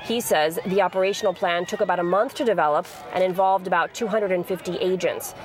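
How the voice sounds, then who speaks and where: A woman's voice: on the low side, steady tone, fast, crowd noise. A woman in her thirties, a TV news reporter reading scripted narration.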